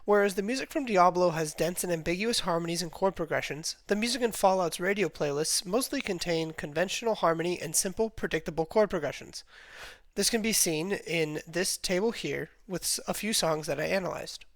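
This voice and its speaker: A man's voice: sits high, no room sound. Young man reading a scripted analysis. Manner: measured, reading from a prepared script. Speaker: student presenting a music analysis. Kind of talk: scripted narration of a video essay. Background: music playing under the voice.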